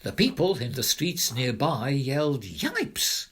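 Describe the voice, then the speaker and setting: Male narrator for rhyming children's storybook: theatrical, highly varied pitch, slow pace, slightly husky. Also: very animated, fairly bright tone. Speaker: narrator, reader of children's poems.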